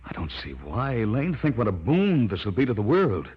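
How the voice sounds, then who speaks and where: A man's voice: lowish voice, wildly swooping pitch, moderate pace, husky, full character voice. An actor playing an earnest male scientist's assistant who recounts an eerie tale in an old-time radio drama. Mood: hesitant, insistent. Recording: muffled vintage radio recording with music.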